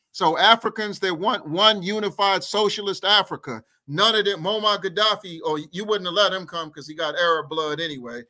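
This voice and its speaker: A middle-aged man holds forth over a video call, his voice coming through a headset mic from a room at home. He sits high in his range with a bright, forceful edge. He stacks phrase on phrase in rhythmic, repetitive oratory, like a speaker working a crowd. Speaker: guest activist. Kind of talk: impassioned political speech in an interview.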